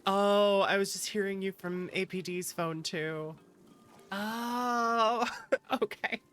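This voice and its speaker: A woman's voice: mid-range voice, steady tone, takes it slowly, a bit bright. A woman livestreamer chatting casually and playfully with her viewers, teasing them as she goes. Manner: playful, teasing, reacts animatedly to chat. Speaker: streamer, virtual YouTuber. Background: background music.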